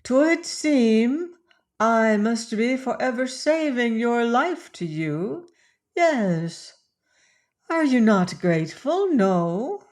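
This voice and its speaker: A man's voice: extremely high pitch, sing-song, extremely slow, voice pushed up, heavily acted. A man voicing a fierce, commanding young woman aboard a pirate ship, imperious and weary by turns. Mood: teasing. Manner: imperious, scornful, then weary and languid.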